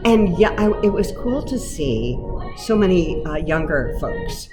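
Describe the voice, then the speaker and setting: Low-voiced woman chatting over loud music. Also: sing-song, a little slow. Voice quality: lower voice. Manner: chatty, self-deprecating, laughs often. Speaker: cabaret singer, guest. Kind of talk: casual radio interview. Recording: music playing loudly underneath.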